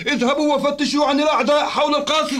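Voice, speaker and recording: A man's voice: very high voice, fairly even intonation, rapid-fire, fairly bright tone. A man voicing a jittery, bossy city governor in a cartoon dub, giving agitated, repetitive commands. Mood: agitated, commanding. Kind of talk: theatrical cartoon dubbing.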